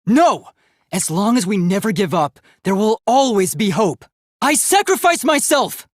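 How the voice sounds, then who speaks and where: K1-B0, earnest husky-voiced young robot. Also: male voice, high-pitched, very animated, a little slow, no echo, clean studio sound, full character voice. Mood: fierce, defiant. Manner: earnest, formal, emphatic delivery. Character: earnest, determined young male robot, idealistic and emotional. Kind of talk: dramatic video game voice acting.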